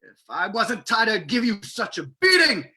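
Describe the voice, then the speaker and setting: A man's voice: high-pitched, sing-song, moderate pace, bright, forceful tone, heavily acted. A man playing a defiant, desperate captured agent in an old-time radio drama. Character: defiant, desperate male captive security agent.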